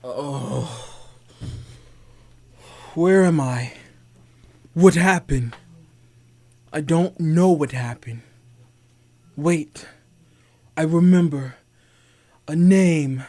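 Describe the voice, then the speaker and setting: A man acting a calculating villain scientist from an old-style radio serial, pitched a little high. He speaks slowly and deliberately, with vengeful menace. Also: darkish tone. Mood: dazed.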